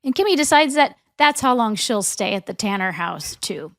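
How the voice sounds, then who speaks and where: Bright-voiced woman recapping a sitcom episode. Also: fairly high pitch, big pitch swings, no echo, clean studio sound. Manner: recounting scenes, quick to laugh. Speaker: podcast co-host. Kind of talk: episode recap narration and chat.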